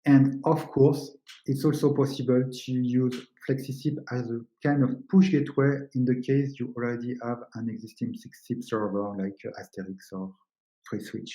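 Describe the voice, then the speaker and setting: Male webinar presenter, mellow tone, halting explanatory delivery, roomy echoing sound. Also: medium pitch, fairly even intonation. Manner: explanatory, halting non-native English phrasing. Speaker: webinar presenter, company co-founder.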